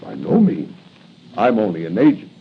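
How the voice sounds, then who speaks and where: An actor playing a sinister, gloating masked killer in a theatrical 1940s radio drama style. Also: male voice, on the low side, big pitch swings, moderate pace, vocal fry. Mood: gloating menace. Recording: dull, noisy 1940 radio recording.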